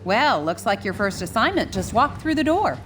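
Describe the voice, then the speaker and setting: A woman's voice: mid-range voice, sing-song, normal speed, close to an everyday voice. A woman playing a curt, condescending government official in a video game. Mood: smug, cold. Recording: game music behind voice.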